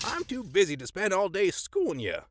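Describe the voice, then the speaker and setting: A man's voice: high voice, wildly swooping pitch, normal speed, background noise. A man playing a cantankerous, folksy old grandpa in an audio drama, blustering with old-timey exclamations. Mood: gruff but caring.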